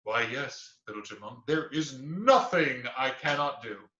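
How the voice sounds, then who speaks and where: A man voicing a big, boastful female bear in a storybook tale, booming and laughing heartily. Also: animated, a little slow, sharp tone. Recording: roomy, slightly dull recording.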